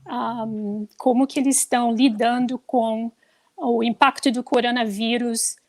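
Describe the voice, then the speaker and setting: A woman from Curitiba, Brazil, joins over a video call with a slightly high voice on a dry, close sound. She speaks haltingly and slips English words into her Portuguese. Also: level tone, no echo. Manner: hesitant, mixing in English words. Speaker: guest.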